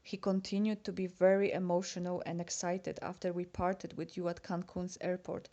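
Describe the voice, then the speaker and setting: Polish woman, native Polish speaker, confiding letter-reader, relaxed dark tone, mid pitch. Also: highs cut off. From Poland. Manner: chatty and confiding, addressing a friend. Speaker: letter writer. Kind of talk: reading a personal letter aloud.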